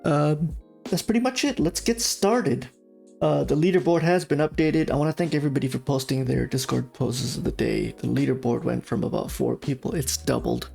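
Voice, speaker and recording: A man hosting a casual livestream art class, talking informally and haltingly, with a slightly sore throat from a cold. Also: medium pitch, creaky, darkish tone, soft background music.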